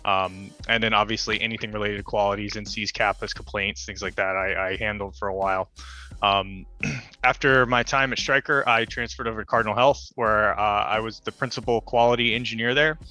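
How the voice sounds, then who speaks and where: Man on a mentor panel, lower voice, bright and forceful delivery. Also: fairly quick, soft background music, low-quality recording. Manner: steady, with frequent uhs and ums. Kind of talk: livestream Q&A self-introduction. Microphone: video call.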